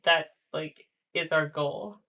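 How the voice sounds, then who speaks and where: A woman chatting casually about devices on a live voice call, full of fillers and quick laughs. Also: low-pitched, pushed and bright. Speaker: jailbreak developer on a stream. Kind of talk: casual tech chat.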